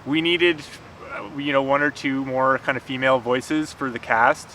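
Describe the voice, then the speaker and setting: A man chatting casually as a writer guest, in a halting way with repeated false starts. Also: medium pitch, fairly even intonation, bright, forceful tone. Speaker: screenwriter guest. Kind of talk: casual chat. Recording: clearly noisy outdoor urban ambience.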